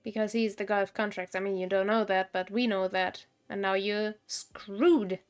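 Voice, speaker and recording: A woman's voice: medium pitch, fairly even intonation, moderate pace. A woman game streamer giving casual live commentary, thinking aloud in a blunt, wry way.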